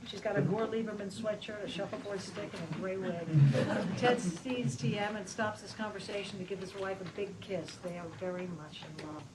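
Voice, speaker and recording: A woman's voice: mid-range voice, normal speed. A woman giving scripted narration, reading stage directions at a table read. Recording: noisy, distant mic, live room.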